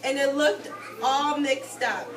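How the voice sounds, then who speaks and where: A woman's voice: high voice, slow pace. A woman teacher reading a storybook aloud to young children, expressively, stopping to explain things in simple words. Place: indoor classroom with carpet. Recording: echoey room, distant mic, loud children's chatter. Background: loud chatter of young children.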